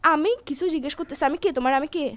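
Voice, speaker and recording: A high-voiced young girlfriend acted by a woman with a Bangladeshi accent grills her partner in a comedy phone call. She talks quickly, scolds and keeps pressing the same questions with angry suspicion. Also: no room sound, natural voice. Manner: scolding, interrogating, repeats questions insistently.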